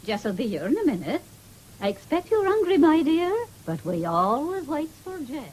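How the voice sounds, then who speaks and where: An actress playing a scolding farmer's wife in a radio drama, with a rural English accent and a bossy, grumbling manner. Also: female voice, sits high, big pitch swings, moderate pace. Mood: brisk, hospitable. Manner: sharp-tongued, grumbling, bossy. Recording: faintly noisy 1970s radio recording.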